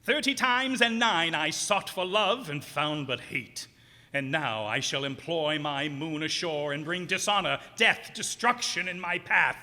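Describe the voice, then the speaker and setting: A man's voice: a little high, measured pace, bright, forceful tone. A man playing a tormented, vengeful undead sea captain in a grandiose, archaic old-time radio melodrama style. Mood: grim. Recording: echoey hall stage performance.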